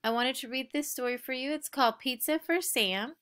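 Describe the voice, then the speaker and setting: A woman reading a children's story aloud with expressive, dramatic emphasis for young listeners. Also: sits high, a little fast, clear, resonant tone.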